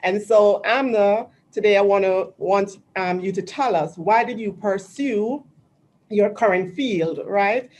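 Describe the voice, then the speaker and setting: A woman's voice: mid-range voice, measured pace, a little mellow, slight background noise. A woman from Jamaica moderating a virtual panel on a video call, friendly and conversational.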